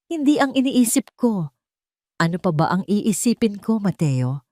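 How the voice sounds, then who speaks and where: A woman's voice: medium pitch, big pitch swings, unhurried, warm tone, dry recording, clean studio sound, voice pushed up. A woman voicing a hurt, angry young wife who accuses and pleads in a Tagalog audio drama. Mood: bitter, angry. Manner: accusing, pleading rhetorical questions.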